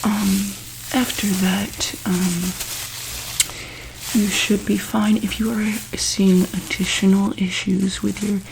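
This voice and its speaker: Slow, creaky, whispery woman doing ASMR. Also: mid-range voice, level tone, background noise. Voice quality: soft, breathy, often dropping into whisper. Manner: gentle, hesitant, reassuring bedside talk with repeated check-ins. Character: calm, attentive doctor at a monster hospital. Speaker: ASMR roleplayer playing a medical examiner. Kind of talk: ASMR roleplay, soft-spoken and whispered.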